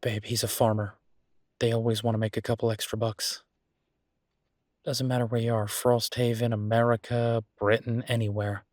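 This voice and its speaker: A man playing an easygoing, affectionate restaurant owner in a roleplay audio drama, chatting casually as if thinking out loud to his partner. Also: medium pitch, little pitch movement, normal speed, no echo, studio quality, lightly acted. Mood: musing, persuasive. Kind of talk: boyfriend roleplay audio drama, one-sided conversation.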